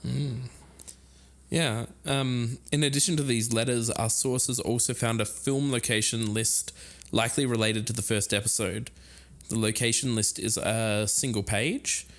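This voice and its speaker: A man's voice: medium pitch, steady tone, a little slow, fairly bright tone. A man co-hosting an investigative podcast, explaining research in a partly casual, chatty style. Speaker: podcast co-host.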